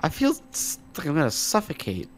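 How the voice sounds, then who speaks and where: A man's voice: a little high, wildly swooping pitch, a little fast. A man playing a playful, sarcastic and easily flustered adventurer in a video game roleplay. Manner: sarcastic, comic. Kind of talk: improvised Minecraft roleplay.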